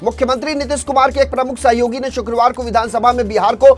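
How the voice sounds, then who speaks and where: High-pitched man reading the news. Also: steady tone, fast, faint music behind. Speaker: news anchor. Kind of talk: scripted Hindi news bulletin narration.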